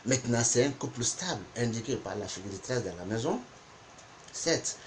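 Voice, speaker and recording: A man whose first language is not French, teaching a spoken lesson on interpretation, deliberate and repeating points for emphasis. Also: mid-range voice, animated, slight background noise. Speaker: geomancy teacher.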